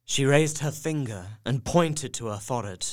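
A man's voice: medium pitch, lively intonation, moderate pace, lightly acted. A man as earnest young Jonathan Harker. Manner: earnest, subdued diary narration. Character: young husband Jonathan Harker, anxious, devoted. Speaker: narrator-diarist. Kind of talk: audio drama narration.

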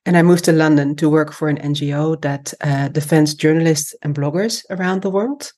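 Low-voiced woman in her forties. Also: moderate pace, dark tone. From Amsterdam, Netherlands. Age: 40s. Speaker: podcast guest, human rights lawyer. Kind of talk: conversational personal account in an interview.

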